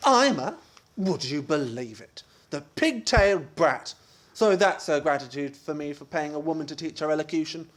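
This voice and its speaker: A woman's voice: low voice, lively intonation, normal speed. A woman playing an outraged, blustering husband in a radio play farce, declamatory and sarcastic. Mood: outraged, incredulous.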